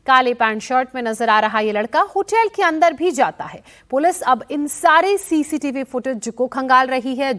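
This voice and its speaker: A woman's voice: sits high, a bit bright. A woman news presenter narrating a scripted report to viewers in an emphatic, explanatory way.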